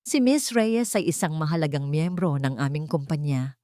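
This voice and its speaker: A woman's voice: low-pitched, moderate pace, warm tone, no room sound, studio quality, lightly acted. Woman voicing a composed, protective young male boss. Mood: firm, protective. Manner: composed, defensive, authoritative. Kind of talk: Tagalog radio drama.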